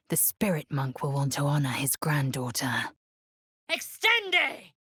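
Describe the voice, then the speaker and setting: A proud, zealous young warrior woman from a fantasy video game. Her voice is low and very husky, and she speaks in slow, clipped, formal phrases with imperious disdain. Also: a bit bright, no room sound, clean studio sound, full character voice. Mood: observant, then battle shouting. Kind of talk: video game character voice acting.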